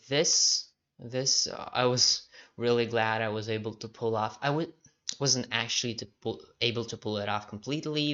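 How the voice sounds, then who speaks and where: A young man casually walking through his own code, halting often with fillers and restarts. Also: medium pitch, sharp tone. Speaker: programming diary vlogger. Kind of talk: casual screen-share walkthrough. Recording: dull lo-fi audio.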